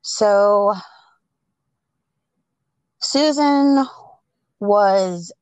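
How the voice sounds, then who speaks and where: A woman podcast host speaks at a slow, unhurried pace in a dry, close recording. She chats casually and makes up a story as she goes, halting between phrases. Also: medium pitch, darkish tone, smooth, no echo, rough recording. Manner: halting, pausing between phrases when improvising. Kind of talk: casual chat and improvised storytelling.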